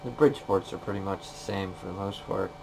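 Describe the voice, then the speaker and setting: A man's voice: low-pitched, a little fast, gravelly, darkish tone. A man giving terse, casual, off-the-cuff commentary as a machinist demonstrating a repair, muttering plainly while he works. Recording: noisy workshop recording.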